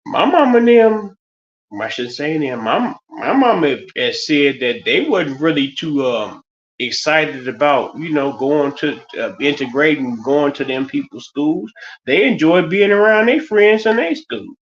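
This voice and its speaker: A man's voice: on the high side, expressive, a little slow. Rambling middle-aged man on a lo-fi stream. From United States. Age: 50s. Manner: rambling, loose, many restarts and fillers. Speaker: stream host. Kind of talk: casual monologue. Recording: slightly dull lo-fi stream audio.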